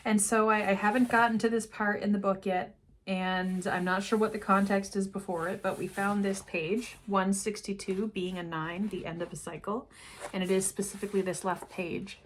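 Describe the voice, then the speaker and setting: A woman giving an unscripted spiritual card reading to camera, meandering and often trailing off into quiet asides. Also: medium pitch, steady tone, normal speed, slight background noise. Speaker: intuitive card reader.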